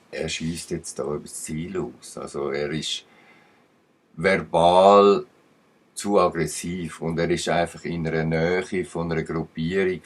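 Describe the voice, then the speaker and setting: A man's voice: very deep voice, breathy edge. A man with a Swiss German accent giving rambling commentary, emphatic and digressive, with uneven bursts. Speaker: channel commentator.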